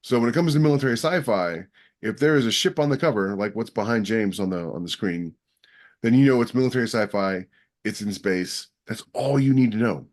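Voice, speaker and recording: A man's voice: lowish voice, fairly quick, darkish tone. A man co-hosting a podcast, explaining his points in an emphatic, assertive way. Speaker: podcast co-host. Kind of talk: casual podcast chat.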